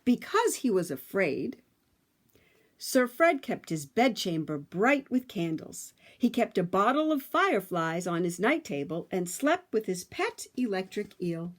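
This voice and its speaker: A woman reading a picture book aloud to children, with dramatic, emphatic phrasing and drawn-out words. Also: mid-range voice, very animated.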